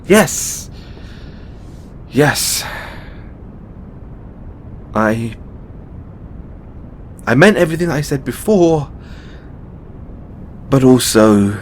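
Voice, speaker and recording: A man playing a flustered, tender god boyfriend in an intimate audio roleplay, stammering and repeating his words. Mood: confessing, tender. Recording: noticeable low rumbling background noise.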